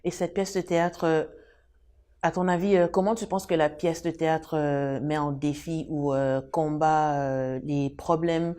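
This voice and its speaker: A woman's voice: low voice, flat delivery, a little slow, warm tone. A young woman hosting an interview show, asking long, rambling questions with many fillers.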